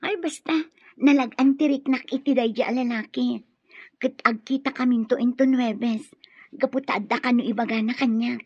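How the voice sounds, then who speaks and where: A woman's voice: on the high side, fairly even intonation, normal speed, slightly rough, dry recording. A woman with an Ilocano accent playing a friendly, trusting young student in a radio drama. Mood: eager. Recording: dull radio drama sound, music behind.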